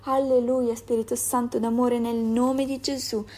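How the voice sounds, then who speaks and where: A woman's voice: a little high, little pitch movement, mellow. Woman praying fervently, word after word. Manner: fervent, rapid, repetitive invocations. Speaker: prayer leader. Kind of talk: fervent spontaneous prayer.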